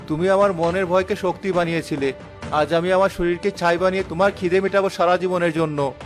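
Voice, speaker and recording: A man's voice: high-pitched, fairly even intonation, fairly quick, soft background music, close to an everyday voice. A man playing a haunted, resigned young village son in a horror cartoon, solemn and fatalistic. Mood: grim resolve.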